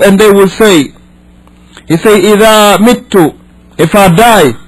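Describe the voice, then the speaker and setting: A man with a somewhat high-pitched voice gives a religious lesson as an imam from Senegal. He is not a native English speaker. He reads and explains, repeating phrases emphatically and putting rhetorical questions to his listeners. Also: low-quality recording. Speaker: imam teaching a religious class.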